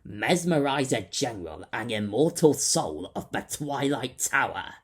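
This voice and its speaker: A man voicing a masked male creature with a slow, polite but menacing drawl. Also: medium pitch, sing-song, husky, pitched above the performer's own voice, heavily acted.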